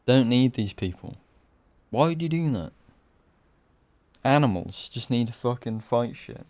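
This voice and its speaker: A man's voice: mid-range voice, expressive, measured pace, darkish tone, no echo. A man giving casual livestream game commentary, blunt and exasperated, with a sweary, ranting manner. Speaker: game streamer. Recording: game music behind voice.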